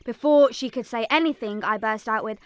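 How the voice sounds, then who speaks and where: A woman's voice: fairly high pitch, brisk, close to an everyday voice. Woman acting an eager village girl, noisy recording. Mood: earnest. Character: eager young Punjabi village girl recounting her day. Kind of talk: first-person dramatic narration.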